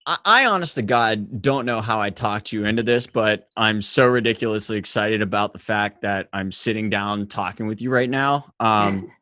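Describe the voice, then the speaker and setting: A man's voice: fairly low pitch, normal speed, hardly any pauses, pushed and bright, dry recording. A man hosting a podcast, talking in a loose, enthusiastic way with casual fillers. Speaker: podcast host. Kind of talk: casual interview chat.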